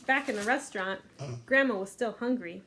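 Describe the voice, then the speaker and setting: Lady librarian doing kids storytime, soft unhurried reading, kinda high voice. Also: slight background noise, low-quality recording. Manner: gentle, unhurried, with fillers. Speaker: children's librarian.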